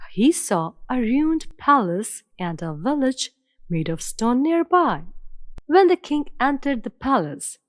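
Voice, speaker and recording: A woman narrating an animated fairy tale in an expressive, storybook way. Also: fairly high pitch, no room sound.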